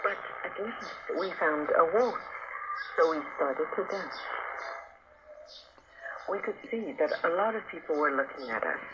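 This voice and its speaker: A man's voice: fairly high pitch, animated, unhurried, pauses often. A man reads a scripted first-person story as a narrator, heard over shortwave radio. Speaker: audiobook narrator. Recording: noisy, muffled shortwave radio broadcast. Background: loud radio static.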